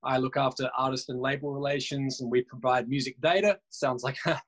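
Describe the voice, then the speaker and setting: A man's voice: medium pitch, fairly even intonation, fairly quick, a bit bright. Man on a music industry panel. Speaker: panelist and moderator. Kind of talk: casual panel discussion.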